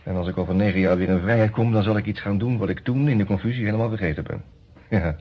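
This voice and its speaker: A man's voice: fairly low pitch, quick pace, breathy edge. An actor in a radio play voicing a smug, worldly-wise lawyer in prison, telling his story in a chatty, wry way. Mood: wry, confiding. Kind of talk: Dutch radio drama acting, conversational storytelling. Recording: dull, muffled old radio recording.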